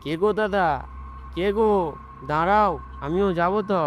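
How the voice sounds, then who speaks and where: A man playing an ordinary, impulsive young man in an animated horror story, conversational and often repeating his words. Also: high voice, a little slow, slight background noise. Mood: calling out.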